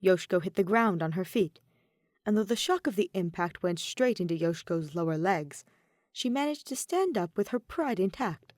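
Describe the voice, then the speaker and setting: A woman's voice: mid-range voice, lively intonation, normal speed, a little mellow, no echo, studio quality. A woman reading a scripted fan story aloud as its narrator. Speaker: audio fan fiction narrator.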